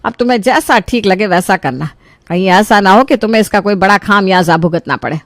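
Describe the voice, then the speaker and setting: A woman voicing a caring but fussy middle-aged mother-in-law in an animated story, chatty, fretful and motherly. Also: mid-range voice, fairly quick, dry recording, studio quality, close to an everyday voice. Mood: exasperated. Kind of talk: Hindi animated story voice acting.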